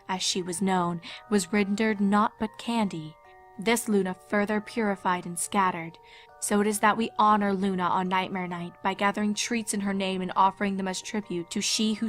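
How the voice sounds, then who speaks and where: A woman narrates a written story in a measured storytelling manner. Her pitch is average for a woman. Faint music plays underneath. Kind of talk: scripted fan fiction narration.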